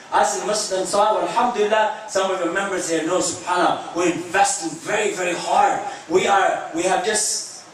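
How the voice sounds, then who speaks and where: High-pitched male preacher in echoing hall. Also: a bit bright. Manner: emphatic, repetitive phrasing, rhetorical questions. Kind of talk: impassioned sermon. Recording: very echoey room, distant mic, faint noise.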